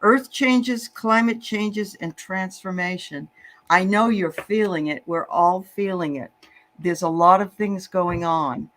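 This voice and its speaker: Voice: mid-range voice, takes it slowly, fairly bright tone, a little hiss or hum. Chatty, encouraging psychic show host. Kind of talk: casual psychic reading chat.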